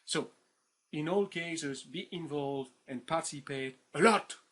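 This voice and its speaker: A man's voice: a little high, measured pace, a bit bright. A man with a French accent giving a recorded introduction to an online course. His talk is informal and playful, full of fillers, repeats, and "right?" after his points. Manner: chatty, informal, many fillers and repeated words; keeps asking "right?" for agreement.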